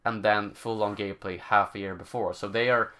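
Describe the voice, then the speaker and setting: Young Swedish-accented male streamer, lowish voice, casual Q&A, stops and restarts while looking for words. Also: steady tone, bright, forceful tone. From Sweden. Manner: halting, searching for words, many fillers. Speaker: gaming channel host. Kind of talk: live stream Q&A, casual chat.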